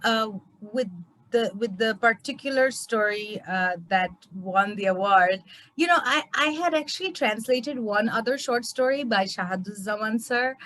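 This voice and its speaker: A young woman from Bangladesh, a translator, chatting on a video call, warm and chatty with many fillers and restarts. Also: mid-range voice, no echo, low-quality recording. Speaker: award-winning translator. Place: small indoor room. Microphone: laptop webcam on video call.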